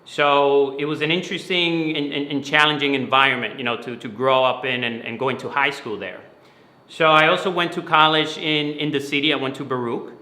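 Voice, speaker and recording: A man's voice: medium pitch, steady tone, brisk, pushed and bright. A man from the Dominican Republic who grew up in New York, giving earnest, explanatory interview answers and often repeating words as he goes. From Dominican Republic, moved to New York City at eleven. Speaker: guest, finance mentoring company founder. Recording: roomy, echoey sound.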